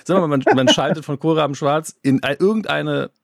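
A man interviewing a guest in casual chat, talking quickly with joking asides. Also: on the high side, creaky, no room sound, clean studio sound.

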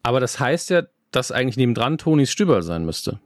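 A man's voice: mid-range voice, big pitch swings, brisk, no echo, clean studio sound. A man interviewing a guest in casual chat, wry and joking in his asides. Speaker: interviewer, host.

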